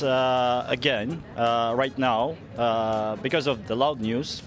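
A man from Russia with a Russian accent, giving measured, explanatory interview answers in a non-native language, often pausing with "let's say". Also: mid-range voice. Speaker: interviewed security researcher. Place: conference event venue.

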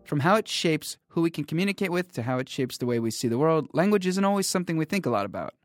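A man hosting a podcast, reading a scripted introduction and then asking interview questions in a measured way. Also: fairly high pitch, lively intonation, faint music behind. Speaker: podcast host.

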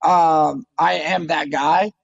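A man's voice: a little high, fairly even intonation, slow pace, gravelly, no echo, dull audio. A man in his thirties, a fighter talking casually in an interview, eager and often repeating words as he starts a phrase. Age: 30s. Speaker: MMA fighter guest.

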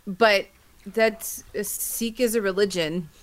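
A woman's voice: medium pitch, slow, crowd noise. A woman co-hosting a podcast, giving opinions casually and repeating her points for emphasis. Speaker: podcast co-host.

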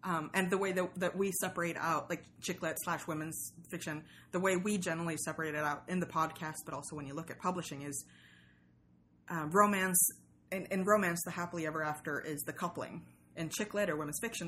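A woman's voice: low-pitched, steady tone, fast talker, fairly bright tone. A woman being interviewed as a podcast guest, explaining things casually in a rambling, slangy way. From Sweden. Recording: clearly noisy background ambience.

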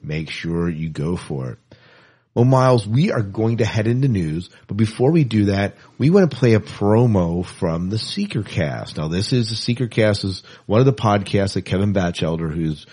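Low-voiced man chatting as podcast host. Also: lively intonation, moderate pace, dark tone, slightly rough. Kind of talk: casual podcast chat.

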